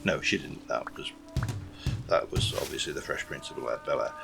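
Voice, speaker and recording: A man's voice: extremely low pitch, moderate pace, creaky. A man from South Yorkshire with a light accent, rambling and self-deprecating through casual game commentary, full of fillers and restarts. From South Yorkshire, England. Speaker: gaming YouTuber. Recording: gameplay with background music.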